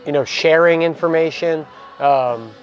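A young man co-hosting a casual talk about coaching baseball, relaxed and filler-heavy, on a lapel mic in a cluttered equipment room. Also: a little high, unhurried, muffled recording, noisy background. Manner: relaxed, many "you know" fillers. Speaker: baseball program coach and co-host. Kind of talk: casual podcast chat.

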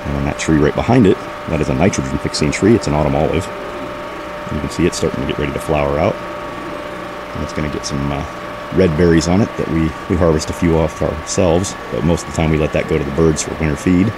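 A man's voice: a bass voice, fairly quick. A man giving a casual, unscripted walk-through commentary outdoors, informally pointing things out as a vlog host. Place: outdoors in a backyard garden. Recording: outdoor camera audio with clear background noise.

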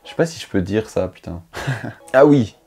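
A man's voice: fairly low pitch, lively intonation, normal speed, darkish tone, breathy edge, faint music behind. A young man, talking slangy and rambling to camera about his year, recorded on a lapel mic. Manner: slangy, rambling, self-mocking. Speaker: YouTuber. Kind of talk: improvised casual monologue to camera. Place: dim bedroom studio, indoors.

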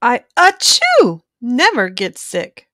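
Woman playing a blustering, lively storybook papa bear. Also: a little high, takes it slowly, no echo, studio quality, full character voice. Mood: boastful, sneezy. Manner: blustering, dismissive. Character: boastful, sneezy father bear, stubborn, protective.

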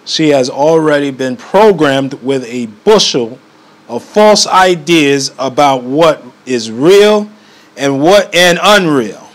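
A man reading a book aloud in an amateur way, often stumbling and going back over phrases. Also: fairly high pitch.

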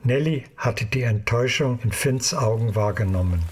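A man's voice: medium pitch, moderate pace, a little hiss or hum. A man narrating an audio drama in a scripted storytelling style.

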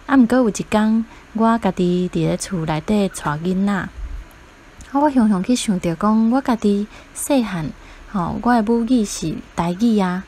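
A woman's voice: mid-range voice, a little mellow. A woman with a Taiwanese accent, a parent guest casually telling her own story. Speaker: parent guest sharing experience.

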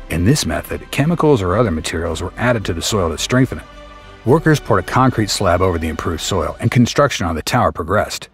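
A man reading a scripted documentary narration in a steady, explanatory way over a close voice-over mic. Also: on the low side. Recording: faint music bed behind the voice.

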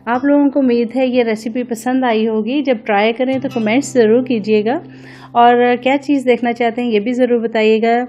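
A woman's voice: a little high, fairly even intonation, normal speed, darkish tone, dull audio, faint music behind. A woman hosting a home cooking vlog, casually narrating a recipe step by step and politely instructing viewers.

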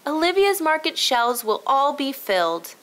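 Woman as a cheerful, lively storybook shopkeeper lady. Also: high voice, moderate pace, pitched above the performer's own voice. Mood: grateful. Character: friendly woman shopkeeper guiding two children. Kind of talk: children's storybook read-aloud.

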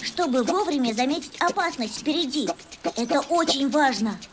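A performer voicing a cheerful, helpful little boy rabbit who explains drawing clearly in a children's cartoon, in a friendly, instructive way. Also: high voice, animated, normal speed, noisy background. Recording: loud music behind.

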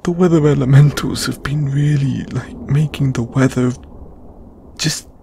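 A man playing an anxious, apologetic, lovestruck lamia flatmate in an audio roleplay, stammering and flustered. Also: mid-range voice, slight background noise. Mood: nervous, confessing. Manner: stammering, halting, flustered, breathy sighs. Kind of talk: intimate audio roleplay acting.

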